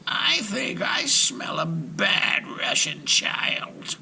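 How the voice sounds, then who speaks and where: A man voicing a bad-tempered, menacing old witch woman from a storybook, with a shrill, strained, snarling, drawn-out delivery. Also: very animated, creaky, pushed and bright, whispered, heavily acted. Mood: sinister. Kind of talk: storybook read-aloud character voice.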